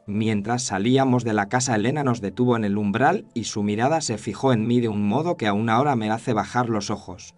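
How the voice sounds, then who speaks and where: A man's voice: fairly low pitch, a little fast, hardly any pauses, a little mellow. A man reading a scripted first-person horror story as its narrator. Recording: clear noise and ambience behind voice.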